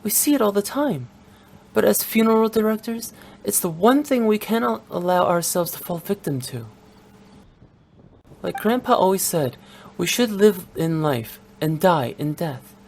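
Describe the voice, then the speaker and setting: A woman's voice: mid-range voice, lively intonation, measured pace, darkish tone, a little hiss or hum. A woman playing a young female funeral director in a video game, playful yet blunt and unsentimental, speaking in a frank, matter-of-fact way. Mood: firm, bitter. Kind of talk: scripted English video game voice acting.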